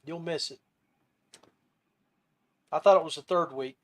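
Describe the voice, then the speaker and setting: A man hosting a casual interview podcast, speaking haltingly and often restarting his phrases. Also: fairly high pitch, a bit bright. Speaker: podcast host.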